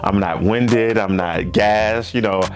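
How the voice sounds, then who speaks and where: A man's voice: lowish voice, takes it slowly. A man in his fifties chatting casually to his viewers, repeating himself and tagging lines with questions. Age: 50s. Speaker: gaming channel host. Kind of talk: casual chat to camera.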